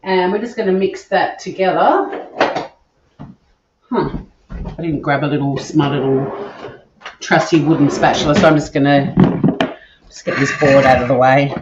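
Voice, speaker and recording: A nasal, somewhat monotone woman talks viewers through a recipe in an easygoing, chatty way from her home kitchen. The sound is dull and lo-fi, with a clear hiss of background noise underneath. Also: sits low, normal speed, pauses often. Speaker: cooking show host. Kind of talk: casual live cooking demonstration, greeting chat viewers.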